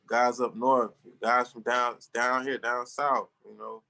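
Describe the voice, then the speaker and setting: A man's voice: mid-range voice, level tone, takes it slowly, creaky, sharp tone. A man in his twenties, a guest musician, talking loosely and trailing off in a casual interview. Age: 20s.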